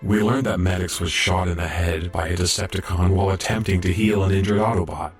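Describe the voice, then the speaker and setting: An actor playing an earnest, modest young robot apprentice medic in an audio drama, reflective and a little hesitant. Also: male voice, low voice, fairly even intonation, a little fast, soft background music, natural voice. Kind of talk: audio drama acting and narration.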